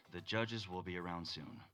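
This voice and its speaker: A man playing a bored, gloomy school PA announcer in a visual novel, deadpan and unenthusiastic. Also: deep voice, heavily acted. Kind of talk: voiced visual novel acting.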